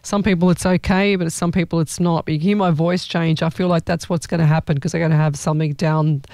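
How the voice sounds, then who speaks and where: A man, a psychic medium hosting a live stream, chatting in a rambling, excitable way. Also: on the high side, quick pace, no room sound. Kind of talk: live-stream reading chat.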